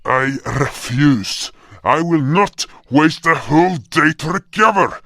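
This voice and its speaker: Weary, grudging warrior beast, male actor, fantasy audio drama. Gravelly, terse, recorded close and dry. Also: a little high, expressive, slow, pushed and bright, no echo, heavily acted. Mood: defiant. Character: stubborn, weary injured beast warrior with jaws.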